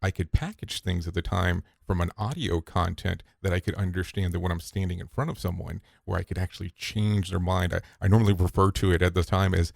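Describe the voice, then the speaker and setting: Deep gravelly man telling stories. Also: brisk, hardly any pauses, no echo, studio quality. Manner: relaxed storyteller with frequent restarts and quote-unquote asides. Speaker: podcast guest, safety broadcaster. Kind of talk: conversational interview answers.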